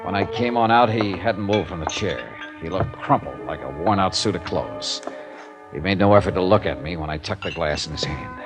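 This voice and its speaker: A cool-headed, hard-boiled American investigator in a 1950s radio drama. He is low-pitched, wry and matter-of-fact, with clipped lines. Music is heard in the old broadcast recording. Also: animated, normal speed. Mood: dry, matter-of-fact. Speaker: lead actor and first-person narrator. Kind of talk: 1950s radio detective drama acting and narration.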